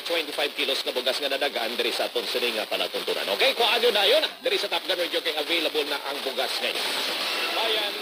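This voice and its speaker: A man's voice: fairly high pitch, very noisy. A Filipino man hosting a live radio program, talking directly and conversationally to his listeners and slipping between his local language and English. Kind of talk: live morning radio talk with quiz prize announcements. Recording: loud music under FM radio broadcast. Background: loud music bed.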